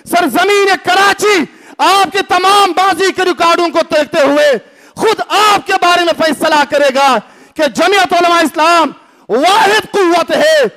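A middle-aged man with a Pakistani Urdu accent, giving an impassioned speech at a lectern in a packed hall, rising to shouts and rhetorical questions. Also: extremely high pitch, measured pace. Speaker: religious party speaker. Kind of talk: fiery rally speech. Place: large packed hall, indoors. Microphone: lectern mic.

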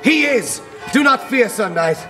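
A man's voice: very high-pitched, lively intonation, unhurried, full character voice. A man playing a proud, eager young knight in a historical radio drama, declaring himself boldly. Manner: bold, declaring loudly. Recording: loud crowd and music behind.

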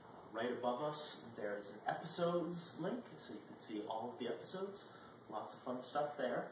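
A man's voice: medium pitch, animated, normal speed. A man in his twenties hosting a video blog, chatting casually and animatedly to the camera, with sudden jumps in volume. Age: 20s. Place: indoor dining room at a table. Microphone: far camera microphone. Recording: echoey room, distant mic, faint noise.

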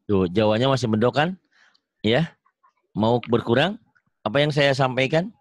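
A man with a Sundanese accent teaching Quran reading over a video call, drawing out syllables slowly, correcting and praising a student. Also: mid-range voice, lively intonation, no echo. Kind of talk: online reading lesson.

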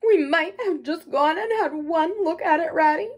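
A woman's voice: extremely high pitch, moderate pace, pitched above the performer's own voice. A woman voicing a small, timid male mole from a storybook, tearful and pleading in a broken, plaintive way. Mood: sobbing, heartbroken. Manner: sobbing, broken, plaintive delivery. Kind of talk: storybook character acting.

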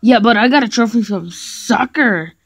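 A smug, possessive animatronic rabbit, voiced by a woman, talks in a casual, somewhat high voice. He is sarcastic and mutters his remarks. The sound is a homemade comedy skit. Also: normal speed, breathy edge. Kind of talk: improvised toy comedy skit.

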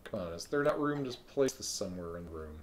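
A man streaming a video game live, thinking aloud in a relaxed way with frequent ums. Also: deep voice, quick pace, a little hiss or hum. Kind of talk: live let's-play commentary.

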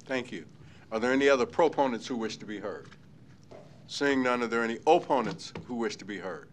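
A man with a Midwestern American accent chairing a city council meeting, halting and full of fillers, at a desk mic in a large chamber. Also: mid-range voice, slight background noise. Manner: halting, many fillers and restarts. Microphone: desk microphone.

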